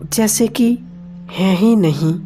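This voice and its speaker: A woman leading a guided meditation in deliberate phrases with pauses between them. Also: medium pitch, dark tone. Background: meditation music.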